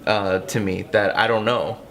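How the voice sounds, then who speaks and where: A man casually giving blunt opinions on a film in a halting, conversational way. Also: lowish voice, level tone, fairly bright tone.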